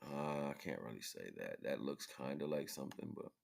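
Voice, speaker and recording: A man hosting a livestream, giving casual, rambling spiritual commentary in informal, slangy, halting fragments. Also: a bass voice, expressive, vocal fry, darkish tone. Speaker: livestream host.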